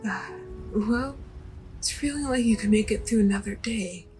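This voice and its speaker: A young woman's mid-pitched voice from an actress plays a gentle anime apprentice. She sounds thoughtful and soft, slightly hesitant, with music playing underneath. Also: normal speed, lightly acted, low-quality recording. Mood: hesitant, sincere. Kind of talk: anime fandub acting.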